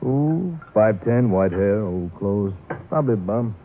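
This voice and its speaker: A man's voice: lowish voice, expressive, unhurried. Weary male police sergeant, casual and matter-of-fact, tinny old-radio sound with light hiss. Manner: offhand, clipped, matter-of-fact. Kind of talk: 1950s radio detective drama dialogue.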